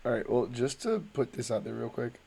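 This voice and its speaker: A man's voice: mid-range voice, sing-song, a little fast, vocal fry, dark tone, slightly rough. A man reading online stories aloud and chatting about them in a rambling, hesitant way with many fillers, while a little sick. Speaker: reddit story reader. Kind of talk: casual reading with commentary.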